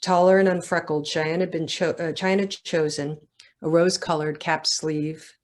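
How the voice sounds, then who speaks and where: A woman's voice: sits low, steady tone, moderate pace. A woman author speaking at a literary event, with talk and reading from her own writing. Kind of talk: casual talk, then literary reading aloud.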